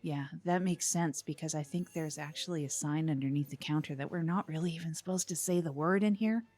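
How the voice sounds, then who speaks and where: A woman's voice: deep voice, fairly quick, darkish tone. A woman playing a nervous, upbeat small-town community radio host in an audio comedy, with a hesitant, rambling, giggly manner. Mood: chatty, hesitant. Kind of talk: improvised-sounding comedy community radio chat.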